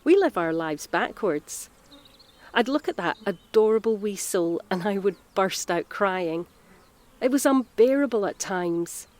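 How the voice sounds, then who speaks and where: An actress playing a harried, self-reproachful Scottish mother in her thirties in an audio drama, talking in a naturalistic, confiding way. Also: medium pitch. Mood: weary, sad. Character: harried, guilt-ridden mother of a nine-year-old boy.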